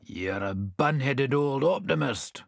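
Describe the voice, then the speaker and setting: A man's voice: mid-range voice, lively intonation, slow pace, gravelly, dry recording, clean studio sound, exaggerated voice. A man voicing a rude, quarrelsome talking pudding in a storybook. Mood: scornful. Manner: rude, scornful.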